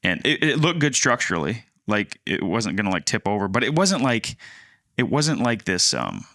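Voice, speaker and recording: A man around thirty co-hosting a podcast, telling a personal story in a casual, halting, rambling way. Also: lowish voice, big pitch swings, a bit bright, no echo, studio quality. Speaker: podcast co-host, land agent.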